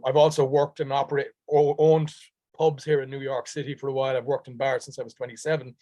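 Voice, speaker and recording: An actor from Dublin with a Dublin accent, chatting easily in an interview with frequent ums. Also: male voice, mid-range voice, a little mellow, dry recording. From Dublin, Ireland. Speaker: actor guest. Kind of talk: conversational interview answers.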